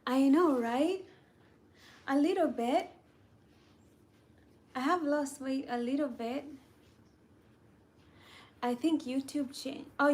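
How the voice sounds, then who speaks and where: A woman hosting a casual livestream, chatting and reading viewer comments aloud. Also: high-pitched. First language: Kamba.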